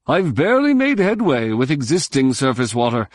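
A man's voice: mid-range voice, huge pitch swings, normal speed, no echo, clean studio sound, pitched above the performer's own voice. A man voicing an indignant, demanding alien scientist.

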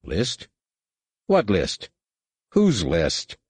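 A man voicing a steady, defiant young male pilot in a space adventure audiobook, firm and curt. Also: fairly low pitch, drawn-out words, slightly rough, no room sound, exaggerated voice. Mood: incredulous, angry.

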